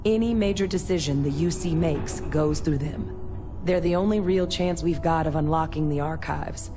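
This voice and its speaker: An actress playing an earnest former military scientist in a sci-fi video game, speaking in a measured, explanatory way. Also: female voice, low-pitched, over music, close to an everyday voice. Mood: serious, explanatory. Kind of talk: video game dialogue acting.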